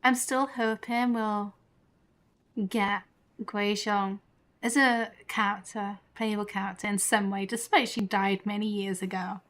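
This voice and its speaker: A woman's voice: mid-range voice, fairly even intonation, a little slow, a bit bright. A woman giving casual reaction commentary as she streams a game. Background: game music.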